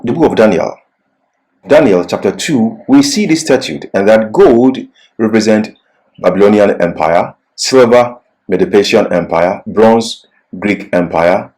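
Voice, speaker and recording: A man's voice: lowish voice, a little mellow, rough recording. A man giving an expository Bible lecture as a teacher, didactic and leaning on key words.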